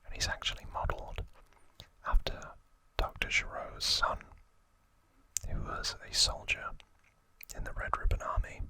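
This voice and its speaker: A man whispering softly in a breathy, unhurried ASMR style as he explains trivia informally, pausing between short phrases. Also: rough recording. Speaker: ASMR video host.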